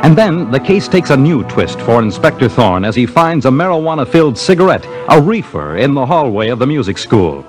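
A man's voice: medium pitch, sing-song, fairly quick, few pauses, close to an everyday voice. A man narrating a 1950s radio mystery in a dramatic, formal, scripted style. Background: music.

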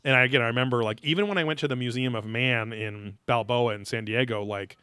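A man's voice: medium pitch, fast, sharp tone, clear, resonant tone, dry recording. Casual, profane man on a dry mic. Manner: rambling, profane, reads quotes aloud theatrically. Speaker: podcast host. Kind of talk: casual episode recap chat.

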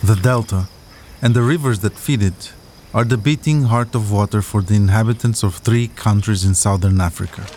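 A man narrating a scripted documentary podcast, telling the story dramatically with deliberate pauses. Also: on the low side, background noise.

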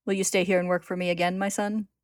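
A woman as a calm, commanding old patriarch. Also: fairly low pitch, fast talker, no echo, clean studio sound, natural voice. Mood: calm, cold.

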